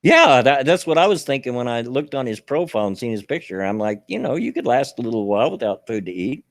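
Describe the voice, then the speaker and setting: A man's voice: mid-range voice, sing-song, fast, no room sound, studio quality. A man with a Southern US accent chatting casually as a podcast host, stammering through restarts and chuckling often. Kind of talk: casual political chat.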